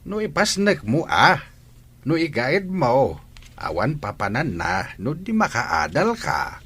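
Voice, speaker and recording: An older man playing a stern, earnest grandfather in a radio drama, with a husky voice. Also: mid-range voice, sing-song, takes it slowly, fairly bright tone, full character voice. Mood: earnest urging. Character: stern elderly grandfather, earnest about his granddaughter's schooling.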